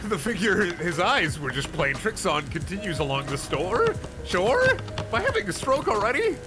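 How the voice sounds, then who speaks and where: A man's voice: high voice, very animated, moderate pace. A man reading bad fan fiction aloud as a comic drama, mock-earnest and exaggerated, with sudden outbursts. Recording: loud music behind the voice.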